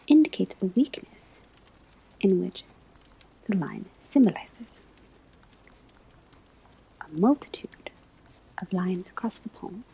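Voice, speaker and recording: A patient professor at a school of witchcraft, played by a woman, lectures in an ASMR roleplay. Her voice is very breathy and whispery, with a soft, dark warmth. She speaks slowly and formally, setting out each point with measured precision. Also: mid-range voice, heavily acted, low-quality recording. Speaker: divination teacher in a roleplay.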